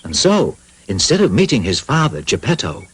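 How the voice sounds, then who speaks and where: A man's voice: mid-range voice, huge pitch swings, normal speed, slightly rough, low-quality recording. A man narrating a cartoon fairy tale in a scripted storybook style.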